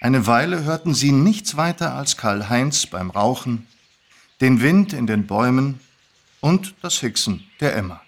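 A man narrating a story in an expressive, scripted reading. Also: mid-range voice, a little slow. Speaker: narrator, actor.

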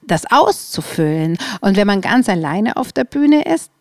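A woman's voice: medium pitch, sing-song, a little slow, darkish tone, dry recording. Bavarian woman interviewer, close dry sound. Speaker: interviewer, host. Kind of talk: casual conversational interview.

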